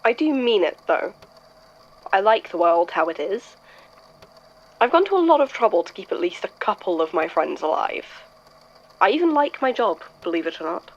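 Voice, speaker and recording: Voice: mid-range voice, very animated, normal speed, pauses often, lo-fi sound. An actor playing a wry, weary, blunt troublemaker in an audio drama, talking in a dry, hesitant, conversational way. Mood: wry, defensive. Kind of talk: fan audio drama acting.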